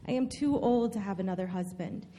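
A woman playing a grieving older widowed mother-in-law in an earnest, pleading, deliberate dramatic scripture reading. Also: medium pitch, warm tone, natural voice. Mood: pleading, bitter. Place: large church hall, live stage reading. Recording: long reverb of a large hall.

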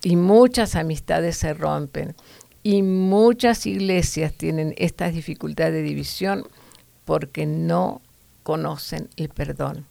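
A woman's voice: deep voice, takes it slowly, vocal fry, warm tone, dry recording. A woman teaching from the Bible to other women in an earnest, preachy way, with fillers and restarts.

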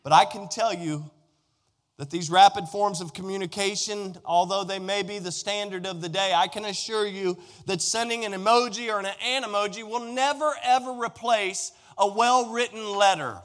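A man's voice: high voice, fairly even intonation, normal speed, fairly bright tone. A middle-aged American man preaching a sermon in a conversational, emphatic way, putting rhetorical questions to his listeners. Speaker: pastor. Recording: echoey hall with long reverb.

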